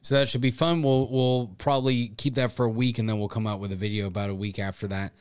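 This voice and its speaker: A man's voice: mid-range voice, little pitch movement, fast, darkish tone, clean tone, no room sound. A man co-hosting a podcast in casual, bantering chat, with a self-deprecating manner. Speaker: podcast co-host.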